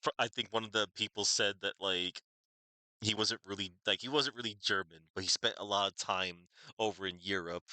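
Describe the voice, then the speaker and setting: A man's voice: on the low side, steady tone, fairly quick, bright, forceful tone, dry recording. A man chatting casually while streaming a game, rambling and hesitant with lots of "like", English not his first language. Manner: rambling, hesitant, many "like"s, laughs at himself. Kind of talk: casual live-stream commentary.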